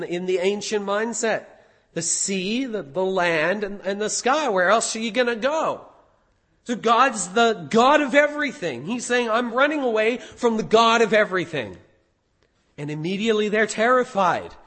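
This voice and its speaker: A hoarse man preaching a sermon as pastor, animated, with rhetorical questions. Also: sits high, big-room sound.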